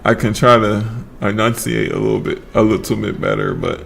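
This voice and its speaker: A man casually chatting on a livestream while he paints, relaxed and slurring his words together, trailing off mid-sentence. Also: on the low side, fairly quick, gravelly. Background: faint lo-fi background music.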